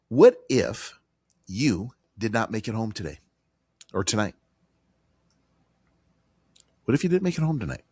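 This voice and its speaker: Male talk radio host, hoarse with a cold, low voice, dry studio sound, emphatic conversational delivery. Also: no room sound. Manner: emphatic, repetitive, conversational with fillers. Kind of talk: financial talk radio monologue.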